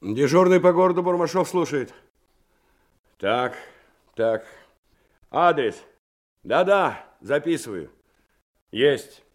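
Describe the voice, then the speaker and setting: A busy duty officer in a radio drama. He is brusque and clipped, his fairly high voice jumping widely in pitch. Faint noise sits on the recording. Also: animated, slow, pauses often. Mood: businesslike. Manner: clipped, curt. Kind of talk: Soviet radio play acting.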